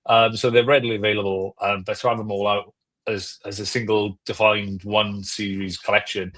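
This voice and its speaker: Guy on a podcast panel, deeper voice, casual fan chat, opinionated and a bit jokey. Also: level tone, moderate pace, fairly bright tone.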